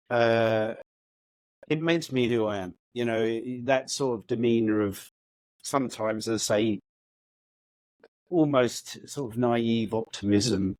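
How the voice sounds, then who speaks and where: A man in his late fifties, raised in the UK, speaks as an interview guest at a medium pitch for a man. He is reflective and upbeat, hesitating and restarting his sentences. The recording is dry and close. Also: darkish tone, no room sound. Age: 50s. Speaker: guest, housing organisation chief executive. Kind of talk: conversational podcast interview.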